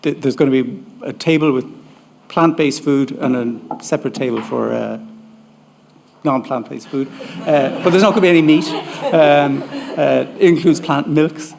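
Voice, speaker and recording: A man organizing a conference, giving practical, halting announcements about food and timing. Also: mid-range voice, vocal fry, background noise. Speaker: event organizer. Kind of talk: housekeeping announcements.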